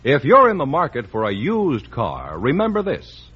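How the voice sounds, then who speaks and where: A man's voice: mid-range voice, huge pitch swings, moderate pace, husky, soft background music. A middle-aged man announcing a quiz show and reading a sponsor ad in a polished, emphatic style. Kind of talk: scripted announcing and ad read. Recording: dull old radio broadcast.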